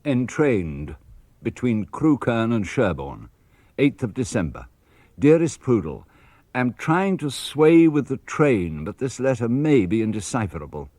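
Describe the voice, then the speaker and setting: A man with a British accent reading letters for radio in an articulate, animated way. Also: medium pitch, unhurried, no echo. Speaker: reader of letters.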